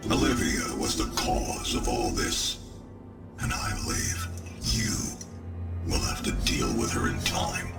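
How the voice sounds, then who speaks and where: An actor playing a grave, calculating scientist executive in a video game, with a gravelly voice and a measured, authoritative manner. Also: male voice, very high-pitched, huge pitch swings, heavily acted. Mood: solemn, justifying. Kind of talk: dramatic video game cutscene acting. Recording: echoey, loud music underneath.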